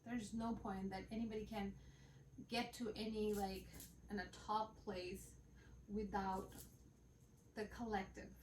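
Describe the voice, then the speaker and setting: A woman's voice: medium pitch, little pitch movement, unhurried, long pauses, darkish tone, recorded from a distance. A woman co-hosting a casual podcast from a room at home, her thoughts wandering through fillers and restarts. She sits a little away from the mic, so the room rings around her voice and a faint hiss sits underneath. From Bangladesh and the United States. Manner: rambling, full of fillers and restarts. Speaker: podcast co-host. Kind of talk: casual chat. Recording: roomy, off-mic with faint background noise.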